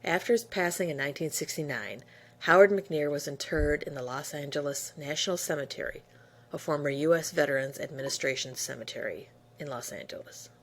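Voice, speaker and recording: A woman's voice: deep voice, a little fast. A woman working as an announcer, reading a scripted biography narration.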